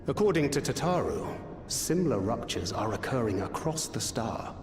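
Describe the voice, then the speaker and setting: An actor playing a sober, watchful comrade in a fantasy video game, with a formal, matter-of-fact delivery. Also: male voice, mid-range voice, big pitch swings, normal speed, reverberant, long reverb tail, over music, lightly acted.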